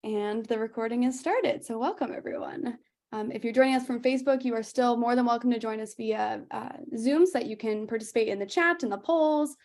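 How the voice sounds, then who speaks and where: Brief: young woman webinar host, fairly high voice, casual, full of fillers. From United States. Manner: chatty, laughs often, many fillers. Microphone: video call.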